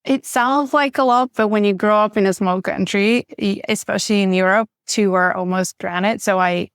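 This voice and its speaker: A woman's voice: medium pitch, steady tone, moderate pace, clean tone, no room sound, studio quality. A woman, speaking as a podcast guest in a frank, matter-of-fact way. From Bulgaria. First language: Bulgarian. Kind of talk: casual interview chat.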